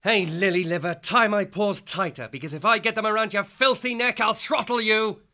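A man's voice: high-pitched, normal speed, sharp tone. An actor playing a plucky, defiant young male mouse warrior, brash and taunting, in an audiobook drama. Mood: threatening.

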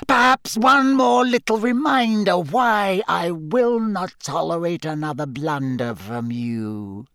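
A man voicing a cruel dark lord in a storybook, with a cold, high-pitched voice and a menacing, deliberate way of talking. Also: gravelly, no room sound, studio quality, heavily acted. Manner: menacing, mock-lenient, deliberate.

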